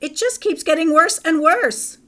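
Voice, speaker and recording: A woman's voice: very high-pitched, lively intonation, a little slow, pushed and bright, voice pushed up, exaggerated voice. Anxious, fretting storybook mother, read by a woman. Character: worried mother, anxious and tearful.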